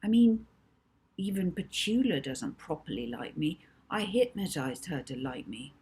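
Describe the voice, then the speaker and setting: A woman's voice: low voice, very animated, measured pace, mellow, breathy edge, full character voice. Lady doing a worried young girl in a kids' audiobook, earnest n confiding, bit hesitant. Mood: troubled, confessing. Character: troubled young girl, earnest and self-doubting. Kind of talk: children's audiobook character dialogue.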